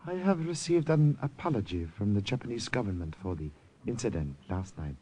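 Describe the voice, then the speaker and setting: An actor playing a dignified, diplomatic Regent of Siam in an old-time radio drama, with stately, formal phrasing. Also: male voice, lowish voice, big pitch swings, brisk, warm tone, breathy edge. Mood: calm, wry. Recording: vintage radio drama recording.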